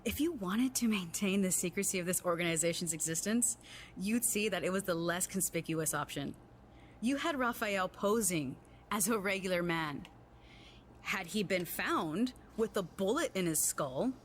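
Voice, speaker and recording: A woman's voice: mid-range voice, animated, measured pace, lightly acted. A young woman acting as a proud, defiant assassin and boss's daughter, curt, guarded and challenging in a dramatic scene. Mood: defiant, bitter. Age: young adult. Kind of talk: dramatic film-style acting. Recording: clearly noisy background ambience.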